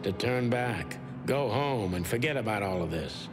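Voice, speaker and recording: An actor voicing a world-weary, brooding noir detective in a video game, with a dry, sardonic manner. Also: male voice, lowish voice, animated, normal speed, lightly acted. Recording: music behind the voice.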